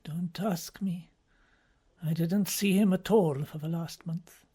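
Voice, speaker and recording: A man's voice: high voice, fairly even intonation, slow, warm tone, slightly rough, exaggerated voice. A man voicing a modest, dutiful older cardinal in an audiobook, with an earnest, self-effacing manner. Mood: subdued.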